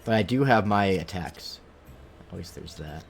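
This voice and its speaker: Low-voiced man casually streaming a game. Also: expressive, normal speed, vocal fry, breathy edge, faint music behind. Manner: rambling, thinking aloud. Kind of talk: casual live gameplay commentary.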